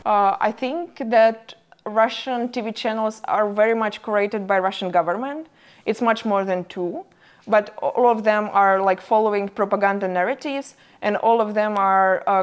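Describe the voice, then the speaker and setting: A young woman with as her giving a university lecture in halting English, with many fillers. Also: medium pitch, clear, resonant tone. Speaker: guest lecturer. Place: lecture room.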